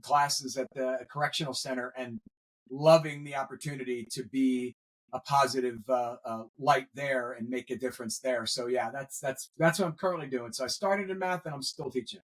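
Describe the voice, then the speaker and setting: A middle-aged man, a grandfather, speaking earnestly as an interview guest, pausing often with fillers. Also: mid-range voice, low-quality recording. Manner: earnest, hesitant with many fillers. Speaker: guest, veteran educator. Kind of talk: conversational interview.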